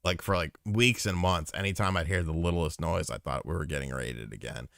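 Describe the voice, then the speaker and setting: A man's voice: low-pitched, brisk, creaky, fairly bright tone, dry recording, clean studio sound. A man co-hosting a podcast aftershow, joking and swearing in rambling casual chat. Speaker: podcast co-host.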